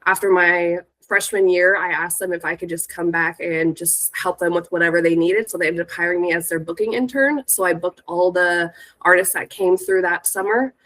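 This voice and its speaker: A woman's voice: fairly low pitch, steady tone, moderate pace, a bit bright, rough recording. An American woman in her thirties with a stuffy, congested voice from a cold, giving an informal, chatty talk about herself as a guest speaker. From Northern California, United States. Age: 30s. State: sick with a cold. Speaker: guest speaker, music industry professional.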